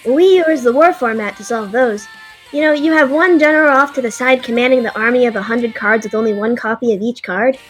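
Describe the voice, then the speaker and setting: A nerdy baby dragon chatters eagerly in a high voice, played by a woman. The dragon is young and hungry and rambles like a fan. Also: fairly quick, soft background music, lightly acted, rough recording. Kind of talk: fan audio drama acting.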